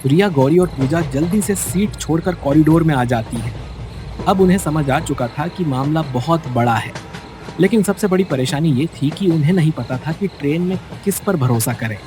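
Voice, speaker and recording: A man's voice: fairly high pitch, expressive, normal speed. A man reading a scripted bedtime story in a dramatic storytelling style, as narrator. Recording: music bed behind the voice. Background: background music.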